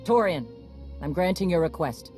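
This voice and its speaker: A woman playing a gruff, commanding warrior clan leader in a video game, talking bluntly and with authority. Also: on the low side, animated, moderate pace, a little mellow, lightly acted. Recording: music behind the voice.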